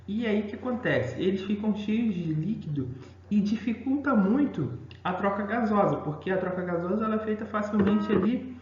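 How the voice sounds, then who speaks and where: Brazilian male teacher in an echoey room. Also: sits high. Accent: Brazilian Portuguese. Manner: explanatory, frequent tag questions. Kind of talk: recorded science lesson for school students. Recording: echoey room, dull lo-fi sound.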